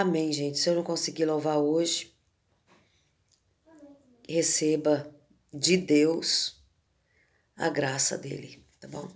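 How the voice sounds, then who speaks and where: Slow hoarse woman with a cold. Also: low-pitched, a little mellow. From Brazil. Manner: slow, homely talk with frequent "né" and "gente". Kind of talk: casual devotional chat to viewers. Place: home room indoors. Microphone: phone camera at arm's length.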